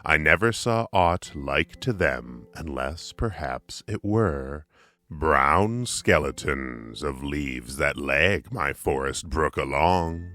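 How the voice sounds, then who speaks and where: Man playing a very deep, pious old hermit. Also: expressive, takes it slowly, pushed and bright, heavily acted. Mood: wondering. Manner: archaic, exclamatory speech. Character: pious, cheery old hermit, curious and bold.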